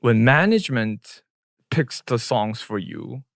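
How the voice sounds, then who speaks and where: A man's voice: medium pitch, expressive, slow, fairly bright tone, no echo. Korean-speaking man explaining in dry English. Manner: explains at length, slipping into Korean phrases mid-sentence. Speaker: podcast co-host. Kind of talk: casual podcast discussion.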